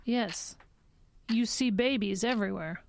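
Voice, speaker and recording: An actress playing a controlled psychologist in an audio drama, putting short, pointed questions to a patient. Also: female voice, medium pitch, measured pace, creaky. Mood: probing.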